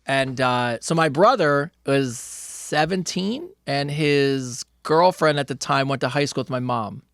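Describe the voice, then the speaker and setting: A man's voice: medium pitch, measured pace, a bit bright, dry recording, studio quality. A middle-aged man co-hosting a casual comedy podcast, telling loose rambling stories and reading out listener questions, with plenty of restarts and swearing.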